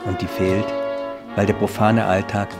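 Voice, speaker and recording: A narrator reading a scripted voice-over for a feature in a calm, measured way. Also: low voice, over loud music.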